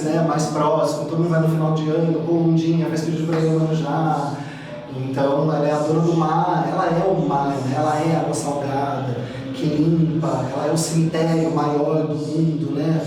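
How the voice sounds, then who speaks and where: A man earnestly explaining the meaning of his artwork to guests at a gallery opening. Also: fairly high pitch. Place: art gallery. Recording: echoey gallery, distant mic, crowd behind.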